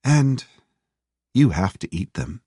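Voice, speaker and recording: A man's voice: lowish voice, very animated, slow, dark tone, breathy edge, dry recording, voice pushed up, exaggerated voice. A man voicing a practical young woman, speaking in a dry, firm and teasing way in an audiobook thriller.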